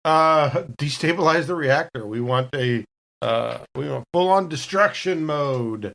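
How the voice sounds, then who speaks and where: A woman's voice: sits low, a little slow, gravelly, a bit bright. A woman playing a tabletop roleplaying game, chatting casually in a playful, theatrical way. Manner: theatrical, jokey.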